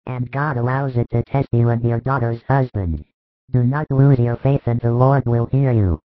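A man voicing a compassionate rabbi in a Bible story, speaking in a measured, earnest and reassuring way with gentle authority. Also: medium pitch, fairly even intonation, no room sound, narrow, tinny audio, lightly acted. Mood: firm, reassuring.

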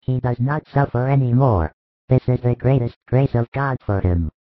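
A man voicing a calm, solemn spiritual master. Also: medium pitch, moderate pace, no room sound, lightly acted. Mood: consoling. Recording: thin phone-like dry recording.

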